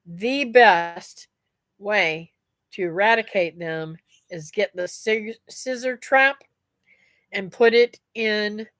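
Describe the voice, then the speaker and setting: A woman's voice: mid-range voice, slow, fairly bright tone, dry recording. A middle-aged woman, chatting casually with her live-stream viewers in a rambling way, her voice a little stuffy and nasal. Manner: chatty, rambling, talks to viewers as "guys" and "y'all". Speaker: homestead vlogger reading live comments.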